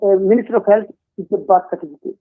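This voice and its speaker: High-voiced man over a tinny phone line. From Gambia. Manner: earnest, deliberate, frequent hesitations. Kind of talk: political discussion.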